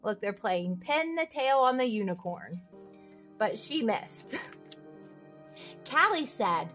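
A woman's voice: on the high side, very animated, slow, fairly bright tone, faint music behind. A woman reading a children's picture book aloud expressively, with sing-song refrains and little questions to young listeners. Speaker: read-aloud host.

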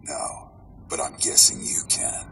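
An actor playing a bitter, menacing scarred ex-gangster in a breathing mask in a video game, with a deep, gravelly voice. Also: male voice, slow, pushed and bright, distant mic. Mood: grim. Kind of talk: video game cutscene acting. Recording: music under the voice.

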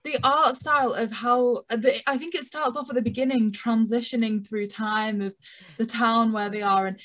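A woman's voice: fairly high pitch, steady tone, moderate pace, fairly bright tone. A young adult woman co-hosting, chatting as an enthusiastic fan with hesitant starts and lots of fillers. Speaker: podcast co-host. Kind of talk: casual chat.

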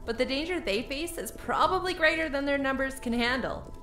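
A woman's voice: high-pitched, fairly quick, fairly bright tone, soft background music. A woman playing a young, confident, plain-spoken dancer in a fantasy audio story. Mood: wary. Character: young red-haired dancer, brisk confident rebel helper.

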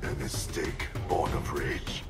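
A man's voice: rumbling low, steady tone, takes it slowly. A man voicing a grim, honour-bound ninja spectre seeking redemption, speaking formally and tersely in a video game. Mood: grim, solemn. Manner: formal, terse, solemn delivery. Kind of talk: dramatic video game cutscene acting. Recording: music behind the voice. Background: cinematic game music.

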